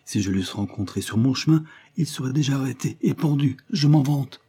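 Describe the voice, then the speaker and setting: A man reading an audiobook, voicing a brash, quick-tempered young maid in a raised, vehement voice. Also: warm tone, breathy edge. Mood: heated. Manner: high-pitched, vehement, indignant. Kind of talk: audiobook character voice.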